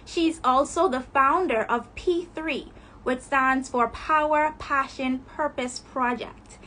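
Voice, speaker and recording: A woman's voice: high-pitched, takes it slowly, sharp tone. Upbeat woman hosting a phone livestream. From Bahamas. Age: 20s. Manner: reads sponsor notes and interview questions in an upbeat way. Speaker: host. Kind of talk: Instagram live chat. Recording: phone livestream with faint noise.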